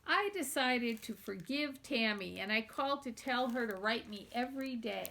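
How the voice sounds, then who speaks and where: A woman with a fairly high voice reads a children's novel aloud. She narrates expressively, telling the story in the first person as its young heroine. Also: animated, moderate pace, vocal fry, bright, forceful tone.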